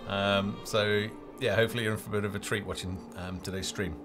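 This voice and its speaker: Low-voiced man streaming a game over music. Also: level tone, fast talker. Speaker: game developer hosting a livestream. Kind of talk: live gameplay commentary.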